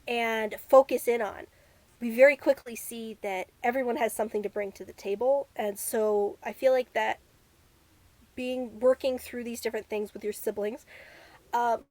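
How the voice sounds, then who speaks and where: A woman's voice: on the high side, darkish tone. A young woman who was homeschooled, a guest in an interview, talking about growing up in a big family in an earnest, reflective way. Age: young adult. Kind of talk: conversational interview.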